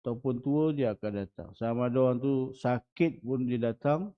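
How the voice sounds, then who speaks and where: A man's voice: medium pitch, normal speed. A man in his forties with a Malaysian Malay accent, a religious teacher giving a dawn lecture in a small prayer hall, often putting rhetorical questions to the audience. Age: 40s. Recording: muffled lo-fi recording.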